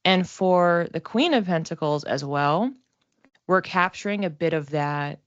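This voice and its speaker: Casting: female tarot reader, low register, chatty and halting with a giggly streak, slightly muffled lo-fi recording. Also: dry recording. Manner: giggly, informal, halting and reflective. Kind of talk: casual tarot reading monologue.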